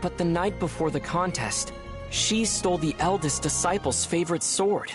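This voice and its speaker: A man playing a young adventurer hero in a video game, with a youthful voice and a measured, thoughtful, gently wry manner. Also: high voice, close to an everyday voice. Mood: storytelling. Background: music.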